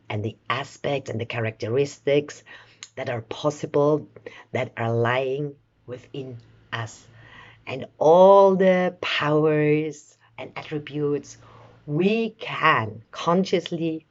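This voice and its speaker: A woman leading a guided meditation in a soothing, measured way, with long pauses between short phrases. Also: a bass voice, darkish tone, highs cut off. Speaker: meditation guide, life coach.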